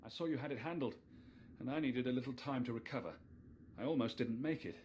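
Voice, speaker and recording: A man's voice: mid-range voice, fast talker, darkish tone, a little hiss or hum, lightly acted. A man playing a loyal apprentice warrior in a video game, with an earnest, dramatic delivery.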